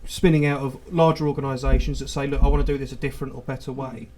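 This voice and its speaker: A man's voice: mid-range voice, level tone, darkish tone, slight background noise. A man, hosting a podcast and asking questions in a casual chat. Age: 20s. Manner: quick, eager, lots of "yeah" backchannels.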